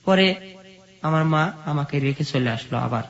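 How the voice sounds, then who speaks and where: A man's voice: fairly high pitch, normal speed. A man with a Bangladeshi accent telling his own life story as an interview guest. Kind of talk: personal life-story narration. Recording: dull lo-fi sound.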